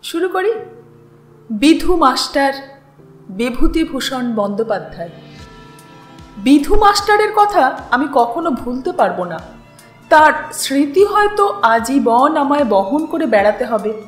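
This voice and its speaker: A woman reading a literary story in an unhurried, dramatized way as host. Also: sits high, very animated. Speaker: story reader and channel host. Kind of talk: expressive literary story narration. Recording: roomy echo with music underneath.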